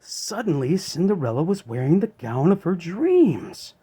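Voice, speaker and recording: Expressive, high-pitched male storybook narrator. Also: measured pace, slightly rough. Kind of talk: expressive children's story read-aloud.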